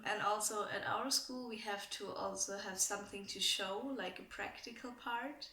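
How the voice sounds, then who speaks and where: A seventeen-year-old woman from Austria with a German accent, talking casually to camera, hesitant, with lots of ums. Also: mid-range voice. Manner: hesitant, many ums, soft and a bit flat. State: a bit ill. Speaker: vlogger. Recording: echoey room, mic at a distance.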